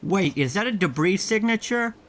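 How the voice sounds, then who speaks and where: A curious, friendly youngster in an audio drama, played by an amateur performer. The voice is medium in pitch and conversational. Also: animated, normal speed, a bit bright, close to an everyday voice.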